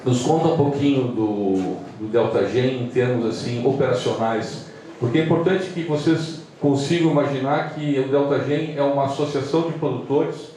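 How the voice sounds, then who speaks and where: A man's voice: mid-range voice, normal speed, mellow. A man with a southern Brazilian accent speaking informally to an audience as an event moderator, addressing his listeners directly in a conversational way. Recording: echoey room, far mic, faint noise.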